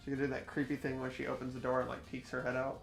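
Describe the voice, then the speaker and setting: A man's voice: medium pitch, completely flat delivery, fast talker, gravelly, soft background music. A man chatting casually as a player in a group game playthrough. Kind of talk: casual banter.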